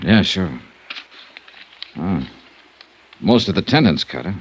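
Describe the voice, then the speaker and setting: A man's voice: low-pitched, sing-song, slow pace, slight background noise, heavily acted. A man playing a hardboiled insurance investigator in an old radio detective drama, wry and probing, with clipped delivery. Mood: coolly probing. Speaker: series lead and narrator. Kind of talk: old-time radio detective drama, acting and first-person narration. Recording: muffled old radio recording.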